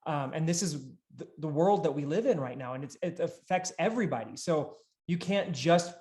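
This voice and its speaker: A man's voice: a little high, normal speed, a little mellow. A man in his thirties hosting a business podcast, explaining things conversationally and emphatically from a home office. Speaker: podcast host. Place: small home office, indoors. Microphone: video call setup. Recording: roomy, echoey home office.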